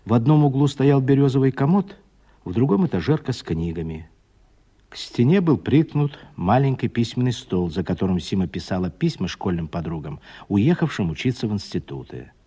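A man narrating a literary radio drama as a measured, expressive storyteller. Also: medium pitch, breathy edge.

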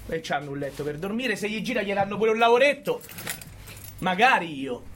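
An actor playing a cynical, resentful prison guard with a Roman accent, goading the listener colloquially in a stage monologue. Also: male voice, high voice, lively intonation, a little fast, a bit bright, slight background noise. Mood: resentful grumbling. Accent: central Italian, Roman. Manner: colloquial, sneering, goading with repeated tag questions. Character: cynical, resentful, underpaid male prison guard, scornful of inmates. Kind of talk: theatrical monologue addressed to an audience.

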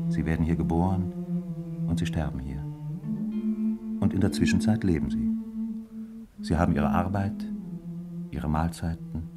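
A man narrating a 1950s German radio play as a contemplative storyteller, measured and literary, pausing between phrases. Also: low voice. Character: measured, contemplative storyteller describing an old harbour town.

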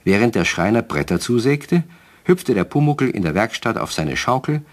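A man's voice: medium pitch, very animated, moderate pace. A man narrating a German children's radio play as a scripted storyteller.

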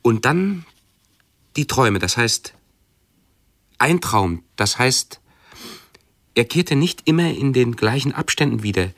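An actor in a radio drama playing an anxious, pedantic hypochondriac who speaks in a halting, brooding, fussily precise way. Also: male voice, mid-range voice, big pitch swings, vocal fry, a bit bright, no echo, full character voice. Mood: anxious, fussy. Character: anxious, pedantic male hypochondriac with obsessive self-observation. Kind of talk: radio drama monologue and dialogue.

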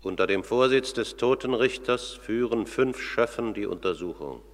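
An actor playing a solemn herald of the underworld court, announcing ceremoniously in a radio drama. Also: male voice, on the low side, lively intonation, natural voice. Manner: formal, ceremonious, measured. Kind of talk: scripted radio drama narration. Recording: long cavernous hall reverb.

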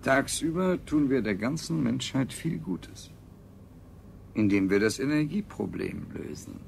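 Creaky-voiced, smug scientist man. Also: mid-range voice, lively intonation, measured pace, darkish tone. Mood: proud, measured. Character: self-satisfied, high-minded male scientist and family man. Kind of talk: 1970s German science fiction radio drama acting. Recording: faintly noisy 1970s radio recording.

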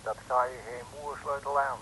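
A man's voice: mid-range voice, flat delivery, normal speed, bright, forceful tone, poor audio. An actor playing a sneering male gangster on the telephone, curt, mocking and menacing, in a 1950s radio serial. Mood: threatening. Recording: hissy old radio recording, telephone sound.

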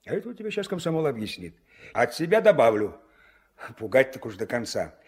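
Male Soviet dispatch boss, 1970s radio play: coaxing, husky, very animated, medium pitch. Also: a little fast. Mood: serious, pressing. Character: genial but pressing male river-port dispatch chief. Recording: old mono radio play recording.

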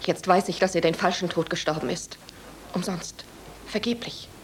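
An actress playing a grieving, unyielding widow in a radio play, with a stern, declamatory delivery. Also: female voice, on the low side, moderate pace, a little hiss or hum, close to an everyday voice. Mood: bitter grief. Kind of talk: theatrical radio drama acting.

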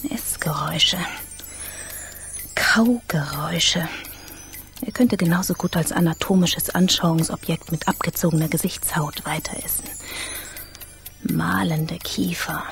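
An actress in a radio play playing a high-strung, fastidious, self-absorbed woman in a breathy, half-whispered inner monologue. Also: soft background music. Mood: disgusted, tense.

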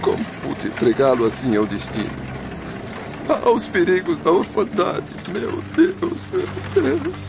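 A man's voice: high voice, huge pitch swings, slow, creaky, noisy background, heavily acted. A man acting the tormented spirit of a remorseful father, pleading and lamenting in a radio drama. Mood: anguished. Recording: muffled, music behind.

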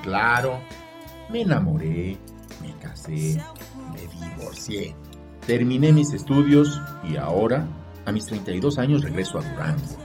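A man's voice: on the low side, very animated, unhurried, darkish tone. An actor with a Mexican accent playing an anxious, obsessed man of thirty-two who narrates a radio drama in the first person. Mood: polite. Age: 30s. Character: obsessed, anxious 32-year-old man narrating his troubled thoughts. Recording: echoing long reverb, music underneath.

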